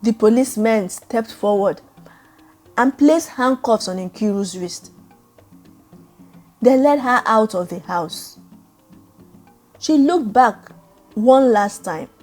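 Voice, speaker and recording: A woman narrating a dramatic story in a measured, solemn way. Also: on the high side, mellow. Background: background music.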